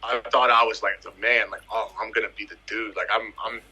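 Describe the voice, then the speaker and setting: A man in his thirties from the US Virgin Islands tells his football story in a relaxed interview. His voice is very bright and edged with husk, and he leans on repetition for emphasis. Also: fairly low pitch, fairly quick, husky, rough recording. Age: 30s. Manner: animated, emphatic, repeats phrases for effect. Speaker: footballer guest.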